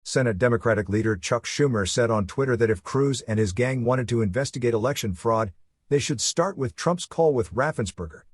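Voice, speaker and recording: A man reading a scripted news report in a formal, measured manner. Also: medium pitch, breathy edge, no echo. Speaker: news reader.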